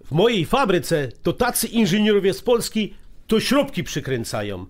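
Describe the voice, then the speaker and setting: Man acting a smug, high-voiced, sarcastic husband. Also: big pitch swings, moderate pace. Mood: smug sarcasm. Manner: sarcastic, condescending. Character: smug, sarcastic nouveau-riche husband, opinionated. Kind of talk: radio drama acting.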